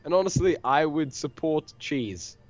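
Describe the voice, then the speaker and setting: A man's voice: fairly high pitch, lively intonation, a little slow, highs cut off, faint music behind. A man from South Africa co-hosting a casual gaming stream, playful and rambling, making up words as he reads the chat. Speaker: co-host reading chat.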